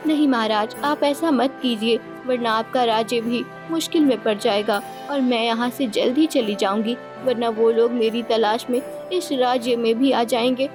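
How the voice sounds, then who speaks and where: A woman's voice: on the high side, fairly even intonation, moderate pace, few pauses, music in the background, lightly acted. A woman voicing a fearful young princess who speaks in a formal, pleading way in a cartoon story. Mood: worried. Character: young injured princess, polite and fearful refugee.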